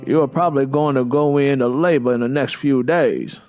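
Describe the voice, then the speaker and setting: A man's voice: medium pitch, moderate pace, darkish tone, soft background music, close to an everyday voice. A man voicing a stern, confident Southern matriarch in an audiobook, instructing firmly with a Southern US accent. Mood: confident, planning.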